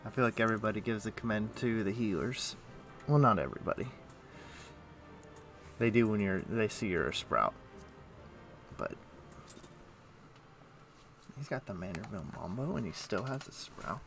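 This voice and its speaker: A man with a fairly low voice talks casually through a game livestream. He rambles and thinks aloud as he plays, with game music clearly audible behind him. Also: animated, normal speed, long pauses, a bit bright. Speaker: game streamer. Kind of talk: casual livestream gameplay chat. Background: video game music.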